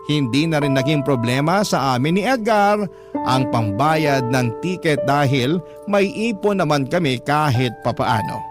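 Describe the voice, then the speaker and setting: A man's voice: a little high, natural voice. A man reading a first-person radio love story as a scripted narration, with music behind him. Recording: music bed under the voice. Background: background music.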